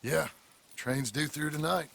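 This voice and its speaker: Gravelly, terse outlaw. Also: male voice, on the high side, a little slow, fairly bright tone, full character voice. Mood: wary. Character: terse, sceptical gravel-voiced male outlaw. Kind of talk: cinematic video game acting.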